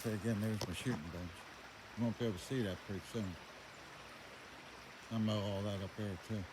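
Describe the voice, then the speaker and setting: An older man with a fairly low voice talks casually as he walks around a farm. He is folksy and plainspoken, often thinking aloud. The amateur recording is outdoors, with very loud ambient noise around his voice. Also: fairly even intonation, brisk. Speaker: vlogger showing his farm. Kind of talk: casual rambling walk-around commentary.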